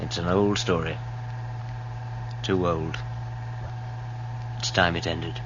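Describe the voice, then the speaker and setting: Grave knowing man, deep-set voice, slow terse ominous delivery, muffled old radio drama. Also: level tone. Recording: dull, noisy old radio recording.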